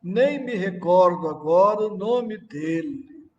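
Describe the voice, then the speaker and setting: Reverberant and far from the microphone, a Brazilian man delivers a religious lecture. His voice sits high for a man, and he moves very slowly, setting out short, emphatic phrases in a deliberate oratorical manner. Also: expressive, darkish tone, highs cut off. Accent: Brazilian Portuguese. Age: middle-aged or older. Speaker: Spiritist lecturer. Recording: echoey reverberant room, distant microphone.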